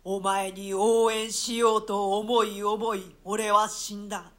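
The vengeful ghost of a murdered nobleman pronounces curses in a radio-play reading, voiced by a man. The delivery is very slow and solemn. The voice is very high and bright. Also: little pitch movement. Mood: encouraging.

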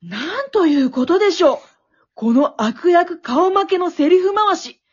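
A woman playing an excitable, wisecracking storyteller, narrating a comic radio drama like play-by-play with dramatic flair. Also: very high-pitched, measured pace. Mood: mock astonished. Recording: dull, lo-fi recording.

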